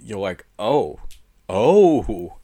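A man with a fairly low voice talks through a film he liked in a relaxed podcast chat. He explains at length and keenly. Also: very slow, crowd noise. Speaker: podcast co-host, film reviewer.

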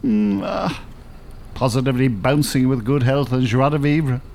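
A man's voice: medium pitch, normal speed, gravelly, darkish tone, a little hiss or hum, natural voice. An actor playing an eloquent, cantankerous Dublin man in his seventies in a radio drama, wordy and pompous. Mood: wry, genial. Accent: Dublin Irish. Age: 70s. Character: eloquent, cantankerous, world-weary older Dublin gentleman.

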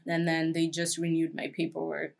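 Lower-voiced young woman chatting casually. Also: monotone, moderate pace, gravelly. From Kenya. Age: 20s. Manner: chatty, frequent "like" and "um" fillers. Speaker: guest. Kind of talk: casual interview chat.

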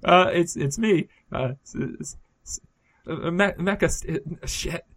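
Deadpan joking podcast co-host man. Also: on the high side, unhurried. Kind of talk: casual comedic chat.

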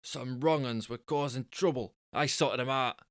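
A man's voice: mid-range voice, moderate pace, a bit bright, dry recording, studio quality, lightly acted. A man playing an earnest, deluded would-be superhero boyfriend who makes solemn, self-important declarations.

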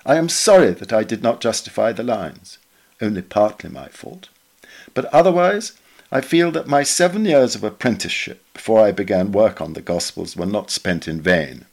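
A man reading a memoir essay aloud in a measured, literary, expressive way. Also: fairly low pitch, creaky. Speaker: reader of a magazine article.

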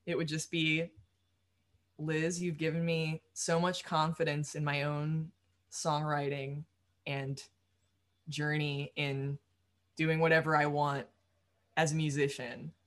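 A young woman musician with a low voice, talking casually in an interview, rambling with lots of fillers and quick laughs. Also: flat delivery. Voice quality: low-register voice. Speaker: musician guest.

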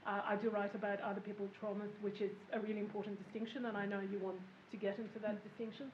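A woman's voice: medium pitch, level tone, fast talker. A woman speaking on a literary panel, talking hesitantly and apologetically without a working microphone. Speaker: panelist writer. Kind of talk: panel discussion. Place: event room with a mic that is not working. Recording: roomy, dull sound with faint noise.

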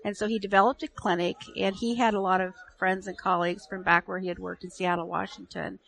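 A woman's voice: mid-range voice, flat delivery, a little fast, vocal fry, a bit bright, low-quality recording. A woman with a nursing and public health background, a radio interview guest who talks with hesitations and restarts.

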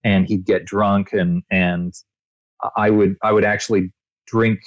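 A male voice actor being interviewed, telling expansive, animated anecdotes with frequent restarts. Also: on the low side, a little slow, dry recording, muffled recording. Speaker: voice actor guest. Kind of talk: interview answers, storytelling.

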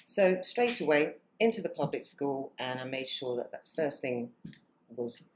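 A woman's voice: rumbling low, expressive, measured pace, a little hiss or hum, low-quality recording. A woman, a mother, chatting in a group in an emphatic, agreeing way. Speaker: parent guest. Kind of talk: casual group chat.

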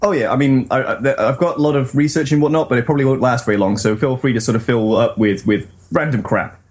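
A man's voice: mid-range voice, quick pace, darkish tone. A man co-hosting a podcast in casual, conversational banter. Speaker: podcast co-host.

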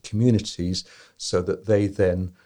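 A man talking as a podcast guest, giving measured, deliberate answers. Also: low-pitched, steady tone, dark tone. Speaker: tour company guest.

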